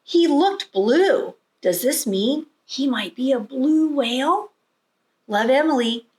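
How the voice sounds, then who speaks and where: Eager, earnest little girl for a storybook, played by a woman; high, very animated delivery. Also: slow pace. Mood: excited. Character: eager little girl writing about her whale. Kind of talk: storybook read-aloud.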